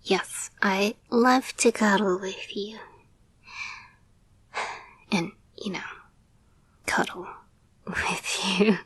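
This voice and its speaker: A woman playing an affectionate, playful girlfriend and caretaker in an intimate roleplay audio, speaking in a soft, breathy whisper with a gentle, hesitant murmur. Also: mid-range voice, extremely slow, pauses often, no room sound, heavily acted. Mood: teasing, affectionate.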